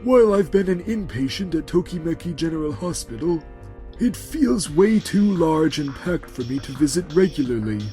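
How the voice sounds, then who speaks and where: A man voicing a particular, politely critical VIP patient in a manga dub, speaking in a measured, blunt way. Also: a little high, animated, warm tone, faint music behind, natural voice. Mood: grateful, trusting. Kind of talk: animated romantic-comedy dub acting.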